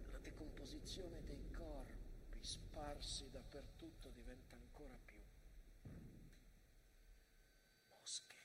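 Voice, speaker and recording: A man's voice: fairly high pitch, lightly acted. A man acting a haunted, fervent storyteller of First World War trenches in a theatrical, urgent stage monologue. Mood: urgent, horrified. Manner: theatrical, urgent, swinging between hushed and declaimed. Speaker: stage actor. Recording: reverberant theatre hall, clear background noise.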